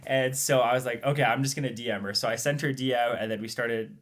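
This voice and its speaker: Male podcast host from Romania, medium pitch, conversational, repeats phrases to land a point. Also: steady tone, fast, a bit bright.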